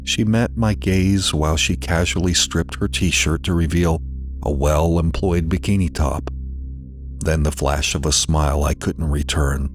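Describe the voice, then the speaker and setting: A man narrating a scripted horror story in a deliberate, dramatic delivery with weighted pauses. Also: rumbling low, soft background music.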